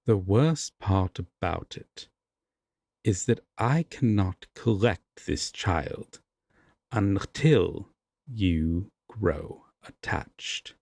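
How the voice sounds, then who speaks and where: Man acting a slow, husky, haughty fae king. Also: deep voice, lively intonation, a little mellow, no room sound, exaggerated voice. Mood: brooding. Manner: formal, slow, deliberate theatrical speech. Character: haughty, possessive male fae king, slyly intrigued. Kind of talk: fantasy audio roleplay acting.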